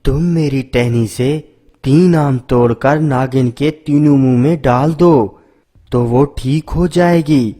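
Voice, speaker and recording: A man voicing a wise, helpful magical talking tree that speaks in a booming, commanding way, pitched well above his own voice. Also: takes it slowly, dark tone.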